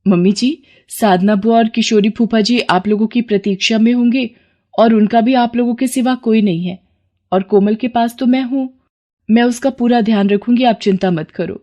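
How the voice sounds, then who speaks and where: A woman's voice: on the high side, normal speed, mellow, natural voice. A woman voicing a meek, caring young daughter-in-law in a family moral story, polite and soothing.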